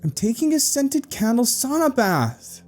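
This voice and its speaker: A man's voice: very high-pitched, very animated, a little slow, faint music behind, voice pushed up, full character voice. A man voicing an irritable, bossy older sister in a horror story, grumpy, defensive and dismissive. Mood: grumpy yelling.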